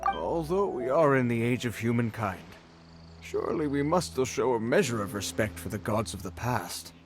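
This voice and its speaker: A man's voice: mid-range voice, animated, normal speed, over music, close to an everyday voice. A man voicing a dignified male scholar among bystanders in a game, solemn and reasoning.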